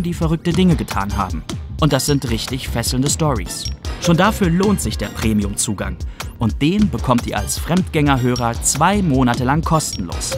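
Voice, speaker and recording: Lively male podcast announcer over music. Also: medium pitch. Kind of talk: scripted promo narration. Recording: music bed under studio voice-over.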